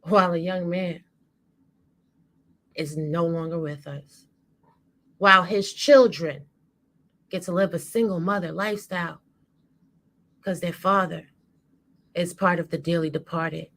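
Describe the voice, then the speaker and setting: Slow, emphatic woman delivering spoken word. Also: on the low side, fairly even intonation, fairly bright tone. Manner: dramatic, emphatic, deliberate phrasing. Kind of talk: impassioned spoken-word monologue.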